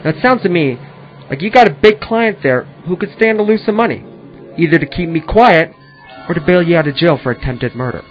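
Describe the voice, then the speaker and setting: A man's voice: fairly high pitch, lively intonation, brisk, natural voice. A man playing a smug, corrupt policeman with an oily, taunting manner in a noir radio play. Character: smug, corrupt male police officer shaking down a detective. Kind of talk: 1940s radio noir acting. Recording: dull lo-fi audio with music.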